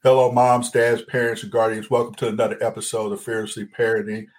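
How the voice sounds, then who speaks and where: A man's voice: on the low side, little pitch movement, quick pace. Man hosting a parenting podcast. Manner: friendly, calls guest brother. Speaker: podcast host. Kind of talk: podcast interview.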